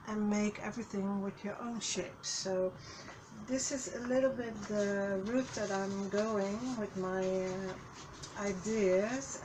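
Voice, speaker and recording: A woman with a slightly hoarse voice, hosting a casual craft tutorial over her desk, slow and halting, with many fillers and restarts. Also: mid-range voice, background noise. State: a little hoarse. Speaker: craft channel host. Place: craft desk, overhead camera.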